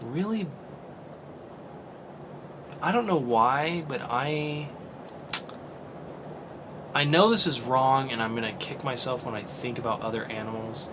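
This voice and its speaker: A man's voice: mid-range voice, a little slow, pauses often, background noise. A man hosting a casual podcast, thinking aloud and swearing casually as he reasons. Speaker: podcast host.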